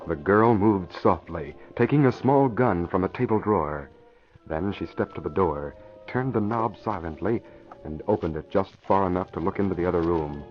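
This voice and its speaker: An actor serving as the grave narrator of an old radio crime serial, reading scripted dramatic narration. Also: male voice, low-pitched, moderate pace, soft background music, natural voice. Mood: measured, suspenseful. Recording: muffled old radio recording.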